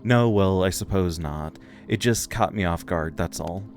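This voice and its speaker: Guy reading as a lost young girl in a game, wary and questioning, very low voice, music behind. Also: a little slow, darkish tone. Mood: puzzled. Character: young amnesiac girl, uneasy, skeptical, reluctant. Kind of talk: reading visual game dialogue aloud.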